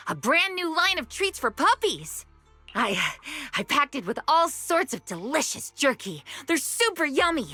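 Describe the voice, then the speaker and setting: A woman's voice: high-pitched, pushed and bright, exaggerated voice. A woman playing an earnest, slightly flustered young pet shop clerk in an anime-style video game. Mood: earnest, eager.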